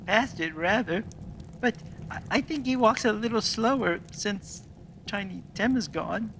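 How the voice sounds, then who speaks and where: A woman playing a quiet, sorrowful child of a grieving family in a radio play, hushed and hesitant. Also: mid-range voice, expressive, measured pace, a little hiss or hum, low-quality recording. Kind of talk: theatrical radio play acting.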